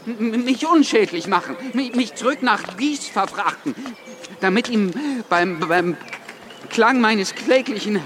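An actor playing a hot-tempered, wry revolutionary journalist with a stutter in a radio drama, speaking in halting, impulsive bursts. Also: male voice, high voice, animated, crowd noise. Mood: agitated, hurt.

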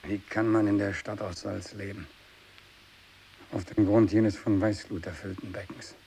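An actor playing a tormented, bitter, fanatical renegade missionary in a radio drama monologue, brooding and intense, moving between hushed speech and anguished outbursts. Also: male voice, fairly low pitch, unhurried, gravelly. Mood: haunted, brooding. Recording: steady tape hiss.

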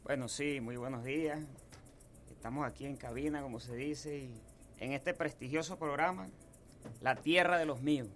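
A man's voice: mid-range voice, moderate pace, fairly bright tone, faint music behind. A man in his thirties from Apure, Venezuela, with a llanero accent, telling homespun stories as a guest in a radio studio booth. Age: 30s. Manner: folksy, homespun. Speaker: guest singer-songwriter. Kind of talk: relaxed storytelling interview.

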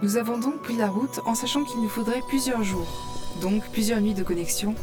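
A woman's voice: fairly high pitch, normal speed, music in the background, natural voice. A woman playing a curious gamer who narrates her fantasy adventure in scripted first-person audio fiction. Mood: composed narration.

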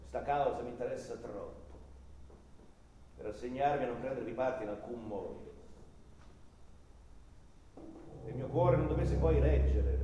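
A man's voice: medium pitch, dark tone, natural voice. Man playing a pompous ailing lawyer, echoing hall. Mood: grave, self-pitying. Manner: pompous, effusive, dwelling on words. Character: sick, theatrical, self-important old male lawyer. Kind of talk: radio play stage acting. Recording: very echoey hall, long reverb, faint noise.

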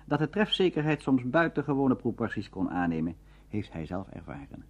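A man's voice: mid-range voice, very animated, brisk. A man presenting a documentary as narrator, reading scripted links. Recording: dull lo-fi recording.

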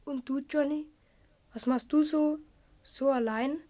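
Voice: high voice, steady tone, unhurried, mellow, no room sound. A performer in a radio play playing an earnest, troubled schoolboy, polite and hesitant. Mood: friendly, guarded.

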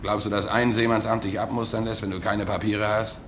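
A man acts a disillusioned ship's trimmer in a radio play. The voice is very low, with terse, resigned, colloquial phrasing. Faint noise and ambience lie behind it. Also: little pitch movement, fairly quick, a bit bright, close to an everyday voice. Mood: weary, matter-of-fact. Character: weary, disillusioned stateless male ship's trimmer. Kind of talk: German radio play acting.

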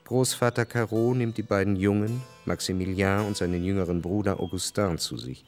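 A man's voice: low-pitched, steady tone, normal speed, faint music behind. A man giving scripted narration as the narrator of a historical radio drama.

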